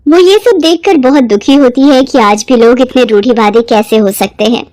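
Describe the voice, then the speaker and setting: A woman's voice: a little high, moderate pace, a little mellow, no room sound. A woman narrating a scripted story as its storyteller.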